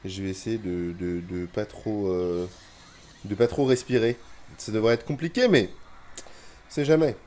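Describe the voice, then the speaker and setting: A young man with a head cold gives casual commentary on his own gameplay, chatty and quick to grumble and swear when frustrated. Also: on the low side, a little mellow. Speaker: gaming youtuber.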